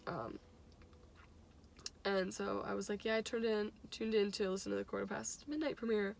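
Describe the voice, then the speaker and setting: A young adult woman casually telling a personal story in an animated, giggly way, acting out bits of dialogue as she goes. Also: mid-range voice, normal speed, gravelly, a little hiss or hum. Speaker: teacher and music fan telling a personal anecdote.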